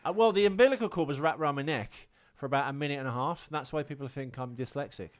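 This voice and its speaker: A man with a mid-pitched voice co-hosts a podcast. He chats casually and jokes at his own expense, wandering from thought to thought in a self-deprecating ramble. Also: fast talker. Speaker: podcast co-host. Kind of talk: casual, joking podcast chat.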